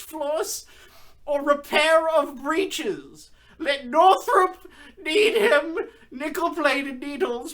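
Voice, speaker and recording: A woman's voice: high voice, a bit bright, full character voice. A woman playing a perky sponsor announcer in a parody old radio advertisement, delivering careful salesy patter. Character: perky female sponsor announcer selling sewing needles.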